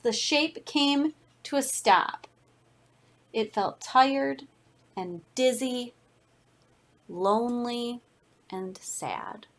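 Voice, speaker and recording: A woman reading a children's picture book aloud as a teacher, with deliberate, expressive emphasis. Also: fairly high pitch. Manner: deliberate, expressive, dramatic emphasis for young listeners. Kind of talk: read-aloud children's storytelling.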